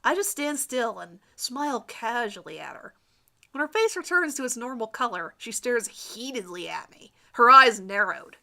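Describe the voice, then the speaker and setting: A woman's voice: a little high, very animated, normal speed, vocal fry, bright, forceful tone. A woman giving a dramatic first-person reading of her own fan fiction story.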